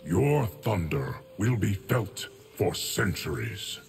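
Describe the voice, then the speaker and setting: An actor voicing a solemn, stoic, ageless guardian of time in a video game, formal and measured with a gravelly voice. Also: male voice, deep voice, big pitch swings, soft background music, heavily acted.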